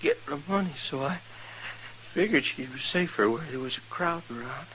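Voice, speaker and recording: An actor playing a mortally wounded, remorseful American outlaw, speaking weakly and haltingly in a 1950s radio western. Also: male voice, a little high, expressive, a bit bright, rough recording. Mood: pained, weary. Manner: halting, folksy. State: weak, dying. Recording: vintage radio recording with faint noise.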